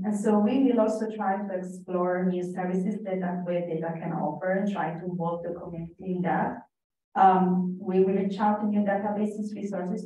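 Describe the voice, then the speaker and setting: A woman giving a conference presentation as a working group presenter, in an earnest, steady manner. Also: lowish voice. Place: conference room. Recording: echoey hall from far off.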